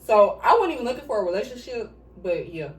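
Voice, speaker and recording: A woman's voice: a little high, very animated, quick pace. A woman chatting casually during a mukbang, blunt and swearing freely while she eats. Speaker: vlogger answering couple questions. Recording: echoey room, mic at a distance, faint hiss.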